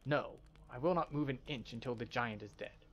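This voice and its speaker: A man's voice: mid-range voice, moderate pace, lightly acted. A man voicing a vengeful, imperious expedition leader from a fantasy game, haughty and dramatic in his commands. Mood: stern, urgent.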